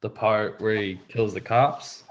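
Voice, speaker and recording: A low male voice from an actor as a guarded, wry teenage boy. He is understated and a little hesitant, reading a film script on a video call. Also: steady tone, measured pace, highs cut off, close to an everyday voice.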